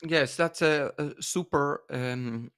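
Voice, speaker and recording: Halting Dutch man, dry recording. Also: on the high side, fairly bright tone, smooth, dry recording. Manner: halting, searching for words. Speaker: podcast guest, dance author. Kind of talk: casual conversation.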